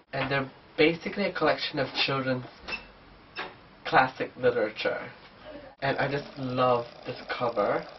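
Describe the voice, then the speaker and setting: A man's voice: medium pitch, a little hiss or hum. A man with a cold and a blocked, nasal voice, chatting casually through a book haul vlog. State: sick, blocked nose. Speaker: book vlogger. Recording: distant dull camera mic.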